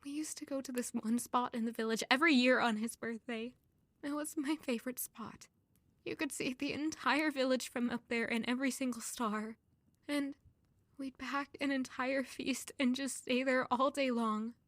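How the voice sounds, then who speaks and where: Actress as a high-voiced, fragile grieving young woman. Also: steady tone, moderate pace, dry recording, close to an everyday voice. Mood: wistful, self-reproachful. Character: grieving, self-blaming young woman servant, fragile and doubting. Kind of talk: emotional audio drama acting.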